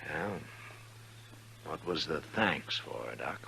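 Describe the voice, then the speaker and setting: An actor playing a stern, weary western marshal, terse and dry, in 1950s radio drama style. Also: male voice, very deep voice, animated, takes it slowly, vocal fry, noisy background, exaggerated voice. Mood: subdued.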